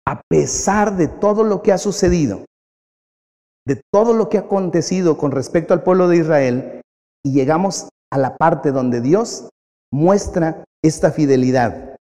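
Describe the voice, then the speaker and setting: A pastor preaching a sermon in a conversational style, repeating phrases for effect. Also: male voice, fairly high pitch, warm tone. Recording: long hall reverb.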